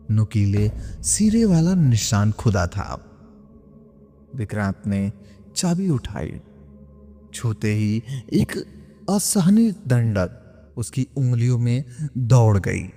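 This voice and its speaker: A man narrating a scripted horror story in a suspenseful, dramatic way with deliberate pauses. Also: fairly low pitch, warm tone. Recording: long cavernous reverb, music underneath. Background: background music.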